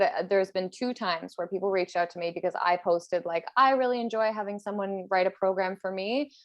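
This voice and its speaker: A woman in her thirties chatting casually and candidly as a podcast host, thinking out loud with plenty of "like". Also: mid-range voice, muffled recording. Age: 30s. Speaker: podcast host and personal trainer.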